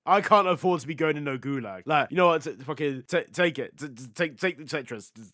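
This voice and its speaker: A man's voice: fairly high pitch, animated, a little fast, bright, forceful tone, dry recording, clean studio sound. A man hosting a podcast, chatting informally about films and often laughing easily as he talks. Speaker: podcast host. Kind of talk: casual film review chat.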